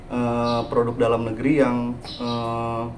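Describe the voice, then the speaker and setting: A man's voice: medium pitch, monotone, takes it slowly, a little hiss or hum. A man in his twenties answering interview questions in a hesitant way, with frequent filler sounds. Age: 20s. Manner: hesitant, many "eh" fillers and "gitu". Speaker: interviewee, entrepreneur. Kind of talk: casual spoken answers. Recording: roomy echo.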